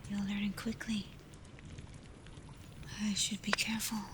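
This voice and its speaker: A woman playing a tender, protective alpha werewolf in an intimate ASMR roleplay, speaking softly and breathily, often in a whisper. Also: mid-range voice, level tone, lightly acted. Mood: amused, fond. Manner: slow, gentle, hesitant when confessing feelings. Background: ambient storm noise.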